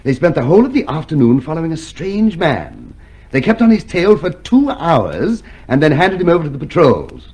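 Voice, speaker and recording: A man's voice: a little high, huge pitch swings, normal speed, darkish tone, breathy edge, exaggerated voice. An actor playing a chatty, boastful father and eager party loyalist in a radio drama, chummy and self-satisfied. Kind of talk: old-time radio drama acting.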